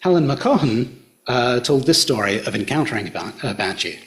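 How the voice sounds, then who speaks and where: A middle-aged man with an Australian accent, giving a public talk in a hotel function room and retelling local folklore in a measured storyteller's way. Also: medium pitch, animated. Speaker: local history presenter.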